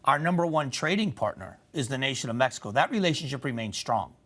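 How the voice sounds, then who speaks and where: A man's voice: medium pitch, expressive, fairly bright tone. A man hosting a local TV news show, reading a scripted news roundup and interviewing a guest in a studio. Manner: brisk, clear presenter delivery.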